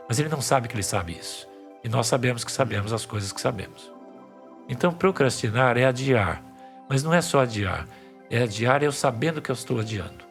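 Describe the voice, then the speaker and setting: Brief: male academic guest, gravelly texture, mid pitch, patient explainer, faint music under. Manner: patient, explanatory, many restarts. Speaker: psychiatry professor guest. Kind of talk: podcast interview.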